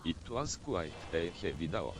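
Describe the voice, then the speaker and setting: A man's voice: low voice, very animated, normal speed, crowd noise. A text-to-speech male voice reading fan fiction aloud, spelling some words out letter by letter.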